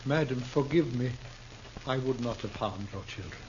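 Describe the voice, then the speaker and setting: An actor in a 1950s radio Western playing a cultured, despairing traveling player turned killer, speaking in a halting, theatrical, mournful way. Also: male voice, medium pitch, natural voice. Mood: desperate, resigned. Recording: muffled, noisy old 1950s radio recording.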